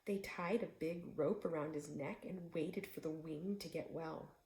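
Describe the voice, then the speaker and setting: A woman's voice: deep voice, steady tone, measured pace, a little mellow, reverberant. A woman voicing a patient old female cat in a storybook, explaining things earnestly and without hurry.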